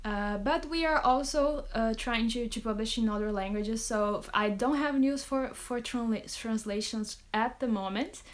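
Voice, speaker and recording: A woman's voice: on the high side, moderate pace, few pauses. A woman author from Brazil, casually answering fan questions on a phone live stream, chatty with many fillers and laughs. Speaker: romance author. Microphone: phone on a social media live stream.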